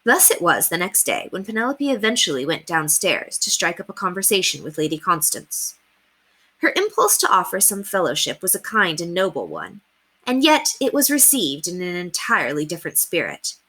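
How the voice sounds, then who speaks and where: A woman reading a children's story aloud as a teacher would, with deliberate emphasis on key words. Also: fairly low pitch, sing-song, bright, forceful tone, husky. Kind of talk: expressive storybook read-aloud narration.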